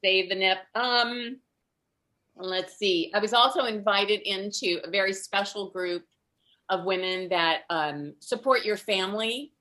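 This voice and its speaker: A middle-aged woman telling stand-up stories in a conversational way, with dramatic pauses. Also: mid-range voice, bright, forceful tone. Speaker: stand-up comedian. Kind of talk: stand-up comedy storytelling. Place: online video event.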